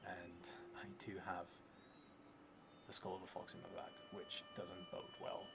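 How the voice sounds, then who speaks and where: Man in his thirties, low gravelly voice, halting solo roleplay narration, murmured asides, noticeable background noise. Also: sing-song. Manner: halting, musing, trailing into murmurs. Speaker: solo tabletop roleplayer streaming. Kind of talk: thinking aloud while playing and reading out a solo roleplaying game.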